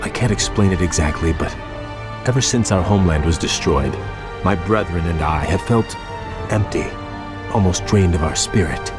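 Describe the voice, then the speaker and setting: An actor playing a proud, troubled young elf prince in a fantasy game, speaking in a grave, formal way. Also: male voice, low-pitched, moderate pace, natural voice. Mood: solemn, troubled. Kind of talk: dramatic fantasy game voice acting. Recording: background music under the voice.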